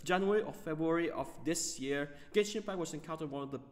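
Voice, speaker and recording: A man hosting a gaming video, giving off-the-cuff commentary in a halting way, often stumbling over his words. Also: a little high, long reverb tail.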